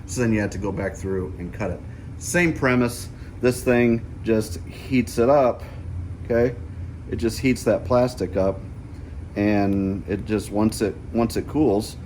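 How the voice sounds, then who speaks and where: A man's voice: fairly low pitch, darkish tone, a little hiss or hum. A middle-aged man giving a casual hands-on tutorial, explaining things step by step and checking in as he goes. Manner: explanatory, often checking with "okay?". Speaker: DIY vlogger.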